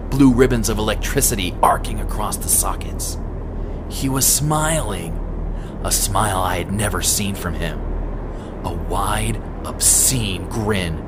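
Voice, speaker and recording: A man reading a horror story as a dramatic narrator, in a grave, deliberate, suspenseful way. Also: on the low side, very noisy.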